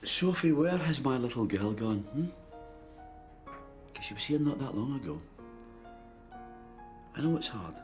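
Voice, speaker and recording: A man's voice: mid-range voice, animated, unhurried, long pauses, a little mellow, faint music behind, natural voice. An actor playing a stern, grieving middle-aged British father in a naturalistic drama, scolding and pleading. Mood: gentle, weary. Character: stern, grieving, exasperated widowed father of teenagers. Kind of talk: naturalistic screen drama acting.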